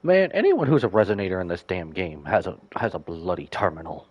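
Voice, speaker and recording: A man's voice: sits low, sing-song, brisk, darkish tone, slightly rough, no room sound, dull audio. A man streaming a game, giving casual reaction commentary.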